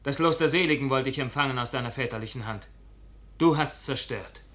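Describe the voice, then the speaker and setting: A man's voice: mid-range voice, fairly quick, a bit bright, lightly acted. A man acting an impassioned young noble officer, anguished by love, fervently declaiming verse in a 1950s radio drama. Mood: wounded, reproachful. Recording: echoey 1950s radio recording.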